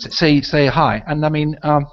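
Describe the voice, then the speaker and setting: A man's voice: fairly high pitch, steady tone, moderate pace, a little hiss or hum. A man whose first language is Swedish hosts a casual online hangout, rambling and tagging his points with "right?" and "I mean". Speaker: host. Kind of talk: casual video hangout chat. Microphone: video call. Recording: lo-fi video call audio.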